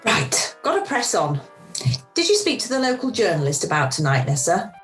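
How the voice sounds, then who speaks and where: A woman's voice: fairly low pitch, sing-song, normal speed, soft background music. A woman playing a wry, self-deprecating, frazzled organiser in an amateur radio play. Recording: home recording over video call.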